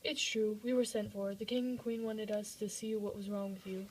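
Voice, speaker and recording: A woman's voice: mid-range voice, level tone, moderate pace, a little mellow, a little hiss or hum, close to an everyday voice, low-quality recording. A woman playing a polite, deferential male courtier in an amateur puppet show.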